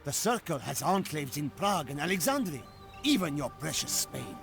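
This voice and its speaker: An actor playing a defiant Turkish prisoner in a game cutscene, urgent and accusing. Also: male voice, on the high side, very animated, moderate pace, gravelly, music in the background. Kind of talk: video game cutscene acting.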